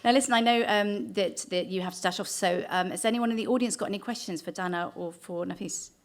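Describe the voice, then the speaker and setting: A woman's voice: mid-range voice, quick pace. A woman hosting a podcast panel in front of a live audience, chatty and enthusiastic with her guests. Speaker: podcast host. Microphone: mic in front of a live audience.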